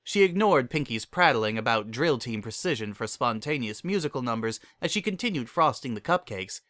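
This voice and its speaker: A man giving an expressive, animated dramatic reading as a story narrator. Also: medium pitch, normal speed, no echo, studio quality.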